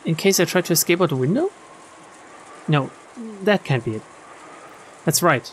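A woman streamer reading visual novel text aloud in an expressive storytelling style. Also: sits low, normal speed, a little hiss or hum. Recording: game music behind the voice.

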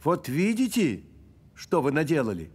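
A man's voice: fairly high pitch, huge pitch swings, moderate pace, husky, full character voice. A man voicing a fussy, dignified cricket in a cartoon, with pompous, theatrical, old-fashioned formal speech. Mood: stern, scolding. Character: fussy, dignified male cricket, keeper of music. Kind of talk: cartoon character acting.

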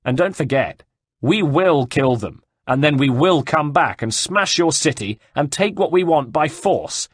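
A man voicing a mocking Italian nobleman villain in an audiobook, taunting and projecting loudly. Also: medium pitch, big pitch swings, a little slow, hardly any pauses, husky, no room sound, clean studio sound, pitched above the performer's own voice, exaggerated voice. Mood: threatening. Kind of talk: audiobook character dialogue.

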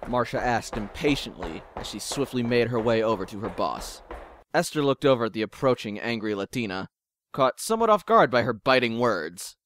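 A man narrating a scripted story in a measured, literary way. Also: medium pitch, sing-song, fairly bright tone, slight background noise. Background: music.

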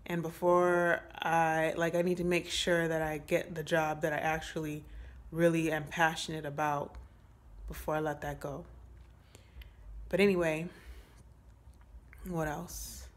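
A woman in her late twenties talking to her phone camera in a small room, giving a casual, rambling update with many pauses and fillers. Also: sits low, flat delivery. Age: 20s. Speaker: vlogger. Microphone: phone held close at arm's length.

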